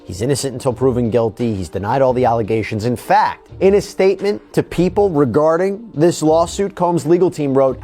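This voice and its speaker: A man hosting legal news commentary, reading and explaining in an emphatic, deliberate way. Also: mid-range voice, warm tone.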